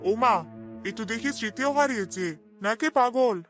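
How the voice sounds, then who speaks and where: A man's voice: very high voice, moderate pace, fairly bright tone, dull audio, faint music behind. A man voicing a curious, wary villager in a cartoon drama.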